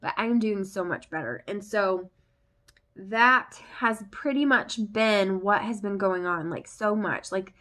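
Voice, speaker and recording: A woman's voice: mid-range voice, a little slow, sharp tone. A young woman vlogging casually, rambling with lots of fillers, her voice still congested from the flu. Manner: chatty, rambling with many fillers. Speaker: family vlogger. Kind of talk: casual chat to camera.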